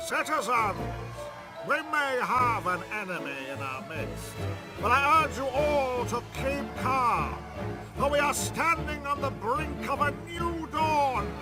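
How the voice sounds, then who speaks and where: An actor playing a pompous, fanatical imperial leader in a video game, grandiose and declamatory. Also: male voice, very high voice, expressive, slow pace, full character voice, low-quality recording. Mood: grand exhortation. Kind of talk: video game character acting, oratory. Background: loud music.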